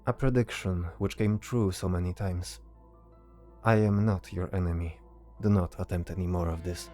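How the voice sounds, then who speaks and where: A man voicing a stoic, cryptic mage in a fantasy audio drama, calm and assured, with formal, deliberate phrasing. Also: sits low, soft background music, close to an everyday voice.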